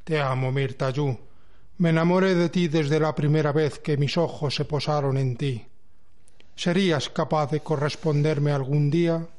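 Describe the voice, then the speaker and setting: A man playing a devoted, lovestruck gentle giant in an amateur radio drama, speaking solemnly. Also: a little high, steady tone, a little slow, natural voice. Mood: tender. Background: music.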